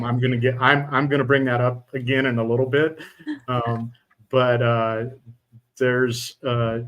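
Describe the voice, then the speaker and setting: Halting middle-aged male podcast host. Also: mid-range voice, a bit bright. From Utah. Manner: halting, many fillers and restarts. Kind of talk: casual interview chat.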